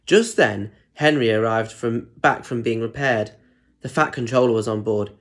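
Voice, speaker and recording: A man's voice: medium pitch, normal speed. A man reads a picture book aloud for young children. He narrates expressively, then breaks off into chatty asides, asking simple questions about what the pictures show. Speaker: storyteller reading aloud to children.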